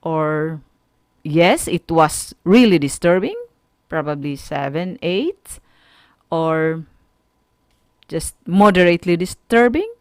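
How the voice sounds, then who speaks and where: Slow, expressive Filipino woman teaching. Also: lowish voice, dry recording, studio quality. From Philippines. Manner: deliberate, didactic, asks the listener questions. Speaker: radio school teacher. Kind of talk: instructional radio lesson addressed to students.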